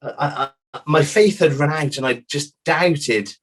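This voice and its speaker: A man's voice: on the high side, unhurried, husky. Man acting as Doubting Thomas, a plain-spoken witness. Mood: doubting, dejected. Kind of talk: dramatized courtroom inquiry.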